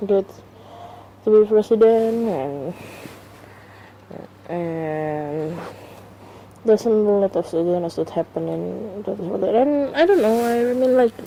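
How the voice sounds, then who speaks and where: A woman's voice: mid-range voice, takes it slowly, gravelly, long pauses, mellow, a little hiss or hum, rough recording. A woman whose first language is not English, hosting her first solo podcast, talking hesitantly with many fillers and restarts, recorded alone on a phone. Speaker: podcast host. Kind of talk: casual solo podcast monologue. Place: alone at home.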